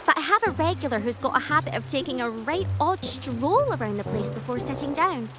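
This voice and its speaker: Animated, high-voiced townswoman. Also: a little fast. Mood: curious, wry. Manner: expressive, animated. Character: feisty female townsfolk, restaurant keeper. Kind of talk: anime game voice acting. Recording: very loud music behind.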